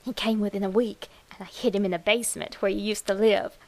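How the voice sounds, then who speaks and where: A woman voicing a deranged, lovestruck young woman who tells her morbid horror story in a breathy, dramatic way. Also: medium pitch, steady tone, natural voice. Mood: giddy, obsessive. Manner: demented, breathy, dramatic, slow with whispered words. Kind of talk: first-person horror story narration.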